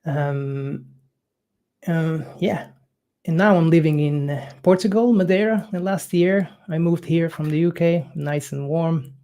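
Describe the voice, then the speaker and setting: A man's voice: a little high, mellow. Guy coach, young, lots of ums and uhs, casual chat. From Hungary. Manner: halting, many fillers, non-native phrasing.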